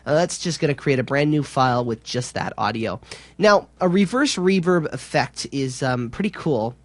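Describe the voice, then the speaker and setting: A man giving an informal, somewhat halting software tutorial as an instructor. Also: mid-range voice, animated, no echo.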